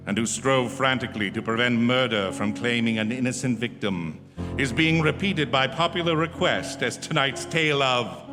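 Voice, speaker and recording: An actor playing a mysterious, ominous radio host, announcing in a measured, theatrical 1940s suspense style. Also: male voice, on the high side, lightly acted. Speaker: announcer introducing the play. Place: live stage in a theatre. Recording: reverberant theatre hall. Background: music.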